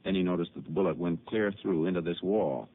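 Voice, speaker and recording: A steady American lawman with a fairly low voice and a deliberate, matter-of-fact way of talking. He is a methodical Texas Ranger in an old western radio drama. The sound is very narrow and noisy, like an aged broadcast. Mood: explaining. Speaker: lead actor and narrator. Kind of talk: 1950s radio drama acting with first-person narration.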